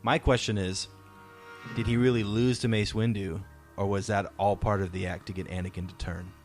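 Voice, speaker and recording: Low-voiced man chatting as podcast host. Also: flat delivery, moderate pace. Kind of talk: casual fan discussion. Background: music playing faintly.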